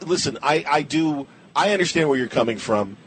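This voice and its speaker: A man's voice: a little high, lively intonation, fairly quick, fairly bright tone, slight background noise. A man hosting a podcast interview, agreeable and reassuring in a casual way.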